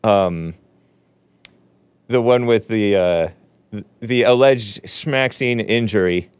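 Low, smooth-voiced male talk host. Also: slow pace, no echo. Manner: chatty, laughs easily, trails off with fillers. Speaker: show host. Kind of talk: casual talk-show chat.

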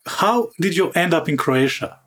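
A man's voice: a little high, animated, moderate pace, a bit bright. A man hosting an interview podcast in a conversational way, often padding his sentences with filler phrases. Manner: frequently interjects "let's say". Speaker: podcast host.

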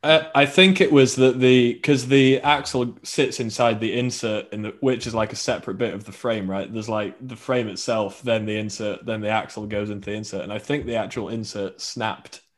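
A man's voice: fairly low pitch, fairly even intonation, moderate pace, few pauses. A man hosting a casual sports podcast, telling anecdotes and explaining details in a joking, conversational way. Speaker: podcast host.

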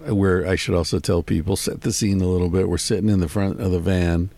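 A man hosting a casual interview from the front of a parked van, relaxed and rambling with many restarts. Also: low voice, fairly quick, dry recording. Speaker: host. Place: front seat of a parked van, door open, rain.

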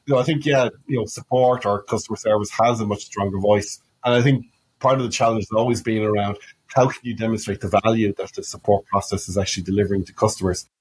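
A man's voice: on the low side, steady tone, fast, breathy edge, rough recording. An Irish man in his fifties giving an earnest, explanatory answer in a conversational podcast interview, often saying "you know". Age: 50s. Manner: earnest, explanatory, frequent 'you know'. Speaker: interview guest, customer support executive.

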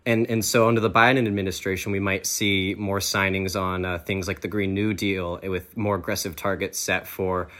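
A man's voice: deep voice, flat delivery, moderate pace, few pauses, fairly bright tone. A man talking earnestly as a co-host in a podcast discussion.

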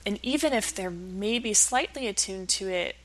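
A woman's voice: mid-range voice, animated, normal speed, slight background noise. Woman explaining as an expert guest. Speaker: researcher and professor, podcast guest.

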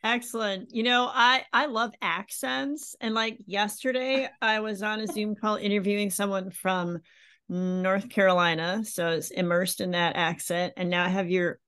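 A woman's voice: mid-range voice, steady tone, moderate pace, a bit bright, smooth. A woman hosting a podcast interview and coaching writers, friendly and enthusiastic. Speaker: podcast host and writing coach. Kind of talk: interview conversation.

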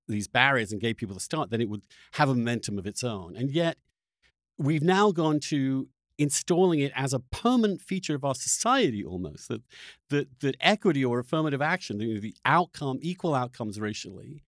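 A man hosting a podcast, asking long, reflective questions in a halting, searching conversational way. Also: mid-range voice, dry recording, clean studio sound. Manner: halting, searching delivery with repeated words. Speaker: podcast host.

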